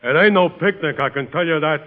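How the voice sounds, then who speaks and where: An American actor playing a downtrodden, complaining gag writer in a 1940s radio comedy, in a matter-of-fact colloquial way. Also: male voice, on the high side, fairly quick, close to an everyday voice. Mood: plaintive. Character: downtrodden, plaintive male gag-writer's helper. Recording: muffled, hissy vintage radio recording.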